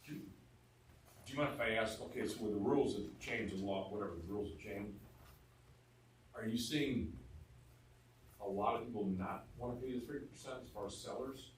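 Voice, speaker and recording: A man's voice: on the low side, a little fast, pauses often, a little hiss or hum. A man attending a class, asking direct questions of the instructor. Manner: direct, inquisitive. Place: training room. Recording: echoey room, mic far off.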